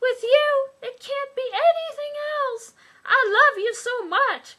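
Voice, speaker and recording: A woman's voice: very high-pitched, slow, bright, forceful tone, pitched above the performer's own voice, exaggerated voice. A woman voicing a swooning young romance heroine in an exaggerated, gushing, pleading way.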